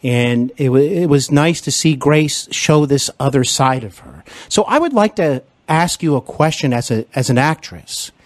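A man's voice: on the high side, expressive, moderate pace, hardly any pauses, no room sound. A man hosting an interview, asking long rambling questions full of fillers and restarts. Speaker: podcast interviewer and actor.

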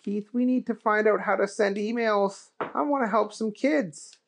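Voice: medium pitch, moderate pace, gravelly, pitched above the performer's own voice, close to an everyday voice. A storyteller voicing Booger, an eager, good-hearted man who speaks in a raised, earnest voice.

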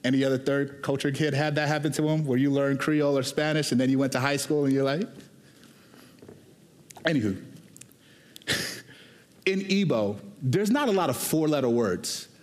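Pastor preaching, chatty and animated, mid-pitched voice, long echo from the church hall. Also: male voice. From Houston, Texas. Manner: conversational and animated, shifting between quick asides and slow emphatic lines. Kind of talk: sermon.

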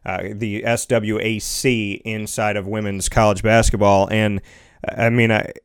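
A man's voice: lowish voice, little pitch movement, a little slow, clear, resonant tone. A man hosting an interview, rambling through his questions with many filler words. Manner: rambling, heavy on "you know" fillers. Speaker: interview host.

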